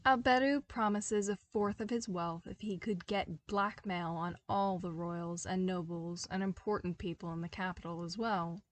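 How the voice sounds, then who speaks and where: A woman reading a fan-fiction story aloud, with measured, even storytelling narration. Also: on the low side. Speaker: podfic reader. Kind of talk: scripted narration.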